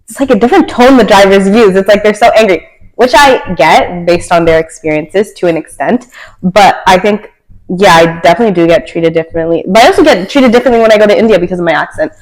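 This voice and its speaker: A woman's voice: medium pitch, expressive, fast, poor audio. A young woman from Canada with a Canadian accent, a podcast guest talking casually, filling her speech with many "like"s and laughing often. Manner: chatty, filler-heavy with many likes, laughs often.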